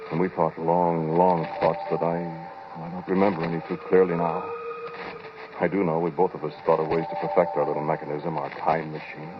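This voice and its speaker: An American actor playing a shaken, brooding inventor who tells his story hesitantly and deliberately in 1940s radio drama style. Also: very deep voice. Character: shaken, brooding male inventor recounting his time-machine experiment. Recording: muffled, noisy 1940s radio recording.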